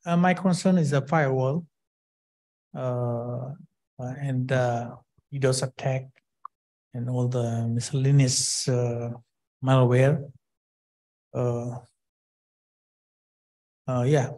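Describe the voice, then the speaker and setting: A man's voice: medium pitch, steady tone, slow pace, long pauses, mellow, low-quality recording. A man from Thailand, speaking hesitantly at a roundtable. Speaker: roundtable participant. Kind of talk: hesitant self-introduction.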